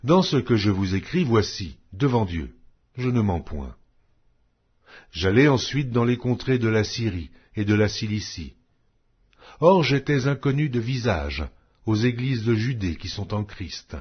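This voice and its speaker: Male scripture reader, low husky voice, solemn measured delivery, slightly muffled audio. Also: animated. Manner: measured, solemn reading with wide pitch swings. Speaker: Bible reader.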